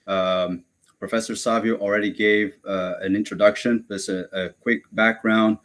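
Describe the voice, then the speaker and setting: Deep-voiced Brazilian-born man lecturing, hesitant. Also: little pitch movement, normal speed, a bit bright. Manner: hesitant, many fillers and restarts. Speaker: guest lecturer. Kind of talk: academic webinar lecture.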